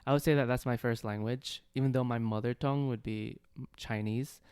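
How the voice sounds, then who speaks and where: A man co-hosting a casual podcast chat, answering in an easygoing, measured way and laughing often. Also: mid-range voice, fairly even intonation, darkish tone, smooth, no echo, studio quality. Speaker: podcast co-host.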